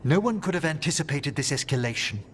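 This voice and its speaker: A man's voice: a little high, lively intonation, fast talker, slight background noise, lightly acted. A man playing a courteous, composed scholar mage in a video game, speaking formally and articulately. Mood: grave. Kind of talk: video game cutscene acting.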